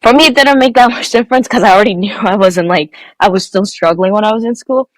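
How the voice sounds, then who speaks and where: A woman's voice: medium pitch, fast talker, no echo. A young woman from Nepal, a guest engineer, answering interview questions casually with many fillers and restarts.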